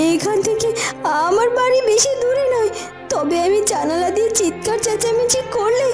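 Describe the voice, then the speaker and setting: A woman voicing a frightened, bewildered young village girl held captive in a cartoon fairytale, tearful and plaintive. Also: very high voice. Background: loud music.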